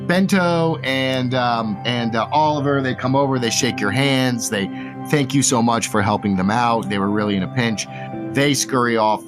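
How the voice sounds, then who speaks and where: A man's voice: mid-range voice, normal speed, music in the background. A man narrating a tabletop fantasy game as game master, speaking conversationally to his players.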